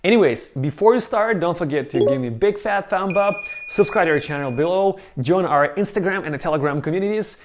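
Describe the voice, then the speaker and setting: A man's voice: sits high, a little fast, few pauses, background noise. Upbeat man hosting a video call interview. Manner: upbeat, energetic intro. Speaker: host, bootcamp founder. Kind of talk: casual interview discussion.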